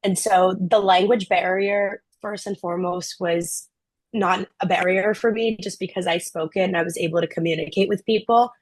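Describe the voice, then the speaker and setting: A young woman from Brazil, a former intern answering questions in a relaxed chat, full of "like" and "um". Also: on the low side, moderate pace, dry recording, low-quality recording. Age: young adult. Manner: chatty, frequent "like" and "um" fillers. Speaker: program alum, student. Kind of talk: casual Q&A answers.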